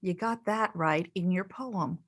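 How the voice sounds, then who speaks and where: An actress playing a pointed, teasing voice in a collector's head, emphatic and deliberate, in a staged reading. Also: female voice, lowish voice, a bit bright, dry recording, clean studio sound. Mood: matter-of-fact. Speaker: actor in a staged play reading. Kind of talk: theatrical staged reading, comic dialogue.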